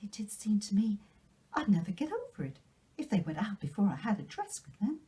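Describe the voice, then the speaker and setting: An excitable, dreamy young girl from a storybook, played by a woman reader. Her voice lifts well above the reader's own and often turns breathy. She speaks in effusive, gushing exclamations, with a soft, mellow tone. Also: mid-range voice, fairly quick, pitched above the performer's own voice, lightly acted. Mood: dreamy excitement.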